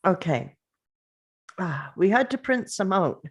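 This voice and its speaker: A woman reading the part of a bereaved, nostalgic mother in a drama table read, halting and conversational but composed. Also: mid-range voice, expressive, slightly rough. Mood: wistful.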